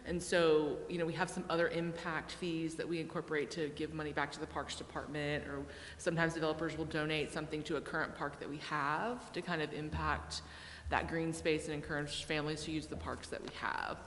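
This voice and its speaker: A woman's voice: low voice, flat delivery, a little fast, a bit bright. In an echoing chamber with faint noise, a woman from city staff addresses a meeting. She explains regulations in a polite, procedural way without a script. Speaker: city planning staff member. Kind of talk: unscripted explanation in a public meeting. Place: indoor council chamber. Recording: echoey reverberant chamber with background noise.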